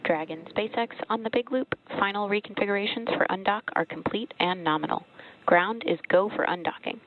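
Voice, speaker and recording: A woman makes procedural callouts over mission control radio. The sound is thin and phone-like, and her voice has a medium pitch. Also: fairly quick. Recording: narrow, phone-like radio communications loop.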